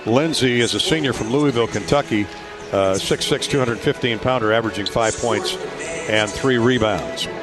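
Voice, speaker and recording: A man calls a game as a radio play-by-play announcer, his voice sitting at a middle pitch. His delivery pauses and hesitates between items, and music plays clearly behind him. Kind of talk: sports radio lineup commentary.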